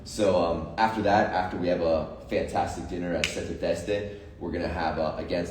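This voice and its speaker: Very deep-voiced man hyping a retreat. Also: fairly even intonation, normal speed, echoey room, distant mic, background noise. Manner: enthusiastic, filler-heavy, motivational. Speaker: retreat organizer and coach. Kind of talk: casual promotional chat.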